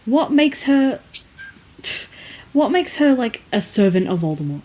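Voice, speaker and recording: A woman's voice: fairly high pitch, very animated, measured pace, mellow, rough recording. A woman podcast co-host chatting casually, questioning things in a playfully skeptical, hesitant way. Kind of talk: casual chat.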